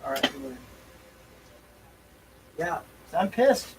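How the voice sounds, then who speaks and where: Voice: medium pitch, big pitch swings, measured pace. A person rambling casually and bluntly to themselves, with some swearing, on a noisy amateur recording. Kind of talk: casual rambling talk, ranting.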